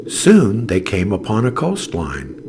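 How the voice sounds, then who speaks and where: A man reading a scripted children's story as narrator, in a dramatic, deliberate way. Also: on the low side, huge pitch swings, creaky, background noise.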